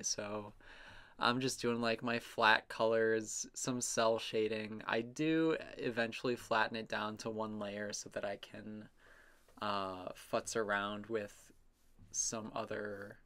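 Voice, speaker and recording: A man's voice: on the low side, fairly bright tone. A man narrating his own drawing process in a casual, unscripted voice-over, rambling and self-amused as his musings trail off.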